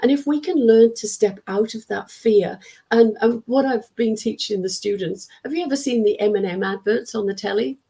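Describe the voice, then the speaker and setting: Woman from the UK, middle-aged, croaky and husky throat, mellow dark tone, chatty storyteller. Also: on the high side, moderate pace. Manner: warm storyteller who acts out quotes of students. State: strained, worn-out throat. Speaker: spiritualist medium and teacher. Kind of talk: casual interview chat.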